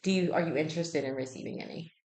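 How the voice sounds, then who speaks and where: A woman's voice: low-pitched, quick pace, a little mellow. A woman working as a healthcare intake interviewer, asking health history questions conversationally and methodically, checking each answer.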